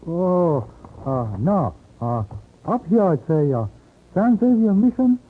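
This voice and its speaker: An actor playing an excitable Chinese hotel porter in a 1950s radio drama, speaking halting broken English with a Chinese accent. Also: male voice, sits high, breathy edge, full character voice. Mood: halting reading. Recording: tinny vintage radio recording.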